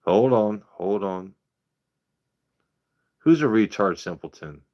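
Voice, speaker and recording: A man's voice: on the low side, lively intonation, slow, lo-fi sound. A man in his thirties hosting a casual livestream, talking bluntly with lots of swearing and rambling asides. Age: 30s. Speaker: whiskey channel host.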